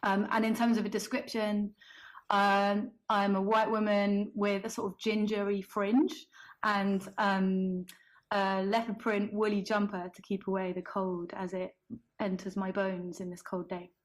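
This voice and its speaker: A woman introducing herself on a panel in a relaxed, conversational way over a video call. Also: mid-range voice, unhurried. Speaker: panelist, company founder.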